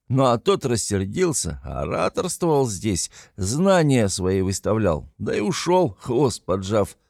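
A man's voice: on the high side, creaky, a little mellow, no room sound, studio quality, voice pushed up, heavily acted. A man voicing a tipsy, hot-headed and talkative young man in an audiobook, a loyal friend with a blustering, emphatic manner. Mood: loud, indignant.

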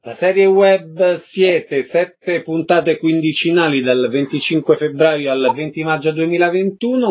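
A man's voice: a little high, darkish tone. A man hosting a live radio interview programme, introducing and questioning guests. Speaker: radio host. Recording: dull, muffled radio audio.

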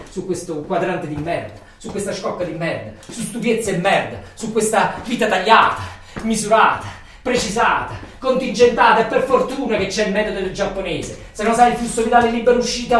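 A man with a Neapolitan accent playing an embittered, rambling factory worker in a theatrical monologue, colloquial and repetitive. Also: sits high. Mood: weary, muttering, then resigned, sardonic. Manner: colloquial, repetitive, ranting and muttering. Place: echoey room or hall, far from the mic. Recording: echoey, distant stage recording with faint noise.

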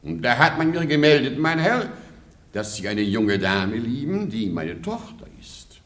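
An actor playing a pompous, boastful and forgetful baron in a radio play of a classic comedy. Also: male voice, mid-range voice, sing-song, measured pace, fairly bright tone. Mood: self-important. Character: pompous, blustering, forgetful aristocratic baron and father-in-law.

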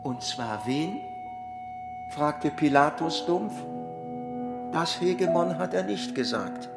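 An actor playing a solemn storyteller narrating a literary tale in a radio play. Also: male voice, fairly high pitch, expressive, takes it slowly, vocal fry, full character voice. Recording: loud plucked guitar music underneath.

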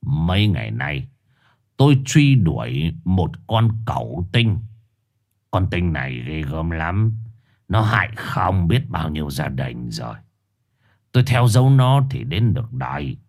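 A man voicing a scheming old male village sorcerer in a low, gruff, sly voice. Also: sing-song, unhurried, darkish tone, full character voice. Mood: grave.

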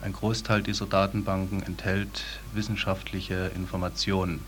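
A man giving formal interview answers as a business manager, hesitant and halting. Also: sits low, flat delivery, a little hiss or hum. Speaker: sales director being interviewed.

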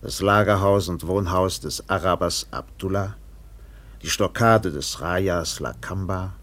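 An actor playing a seasoned sea captain who narrates a radio play in a measured, deliberate way with swelling emphasis. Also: male voice, deep voice, a bit bright. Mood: evocative. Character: weathered sea captain recalling a doomed trader in Borneo.

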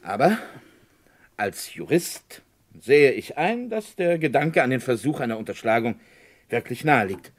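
A man's voice: mid-range voice, very animated, a little slow. A man playing a formal, self-possessed and condescending small-town lawyer in a radio crime drama. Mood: indignant, controlled.

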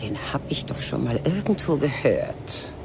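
A woman's voice: rumbling low, very animated, unhurried, noisy background, heavily acted. An actress playing a shrewd middle-aged mother in a radio crime drama, chatty and probing with a sardonic edge.

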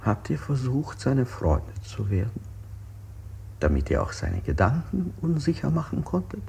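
Insinuating interrogator, animated and creaky. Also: male voice, medium pitch, a little slow, heavily acted. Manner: leading questions, pointedly polite. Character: chief interrogator, insinuating, mock-courteous, solicitous man. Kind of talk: avant-garde radio play acting.

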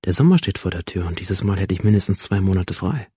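Low husky brooding young student. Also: male voice, lively intonation, quick pace, dark tone, no room sound, studio quality, full character voice. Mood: brooding, hesitant. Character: brooding, detached male school student narrating his fatal decision. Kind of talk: first-person literary monologue, radio drama reading.

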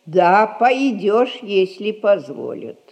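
An actress playing a stern, rich old grandmother who rules a merchant household, speaking in measured, folksy old-fashioned phrases in a stage comedy. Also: mid-range voice, sing-song, a little mellow. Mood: imperious, unhurried. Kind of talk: Russian stage comedy recorded as radio play.

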